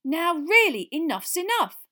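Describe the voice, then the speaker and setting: A woman's voice: very high-pitched, sing-song, unhurried, sharp tone, pitched above the performer's own voice, heavily acted. A woman voicing a pompous, self-important older teenage schoolboy in a storybook, officious and indignant. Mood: dismissive.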